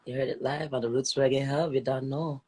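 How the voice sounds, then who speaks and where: Expressive woman host with tinged speech. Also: a bass voice, brisk, slight background noise. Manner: expressive, slips into patois phrasing. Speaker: interview host. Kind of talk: casual live interview hosting. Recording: livestream video call.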